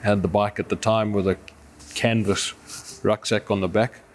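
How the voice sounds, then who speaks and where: A man's voice: lowish voice, little pitch movement, normal speed. A man from Zimbabwe with a Zimbabwean accent, speaking earnestly and philosophically in a reflective, conversational documentary interview. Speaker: adventurer and overlander, interviewee. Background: music.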